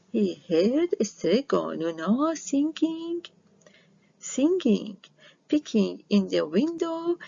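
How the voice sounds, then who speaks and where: A woman reading a children's story aloud with expressive delivery, on a dull lo-fi recording. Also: fairly high pitch, gravelly, husky, no room sound. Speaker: storybook reader.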